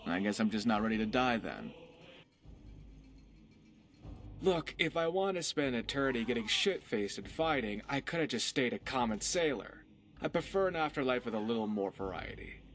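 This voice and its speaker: An actor playing a dashing, playful pirate rogue in a video game, wry, boastful and theatrical. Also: male voice, mid-range voice, sing-song, brisk, fairly bright tone. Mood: restless, wry. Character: dashing cocky young male pirate rogue, playful and tender. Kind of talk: voiced game character dialogue.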